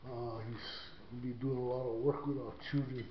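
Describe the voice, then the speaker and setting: A man's voice: medium pitch, flat delivery, moderate pace. An older man from Hawaii, rambling with many fillers as he shows the church he cleans. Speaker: church custodian vlogger. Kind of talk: casual walkthrough commentary. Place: inside a small church building. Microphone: body-worn action camera. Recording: dull lo-fi camera audio with faint noise.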